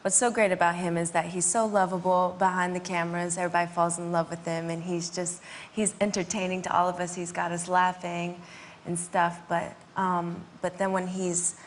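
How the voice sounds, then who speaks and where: A woman's voice: fairly low pitch, flat delivery, moderate pace, a little hiss or hum. A woman with a Southern American accent, a talent show judge chatting as a guest, giggly and jet lagged. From USA, Kentucky. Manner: giggly, enthusiastic. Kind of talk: chat show guest conversation. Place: TV studio with audience.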